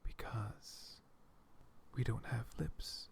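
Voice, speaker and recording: A man voicing a gentle, soothing dragon in a soft-spoken ASMR roleplay, calm and slow, with clicking tongue sounds. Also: medium pitch, creaky, full character voice.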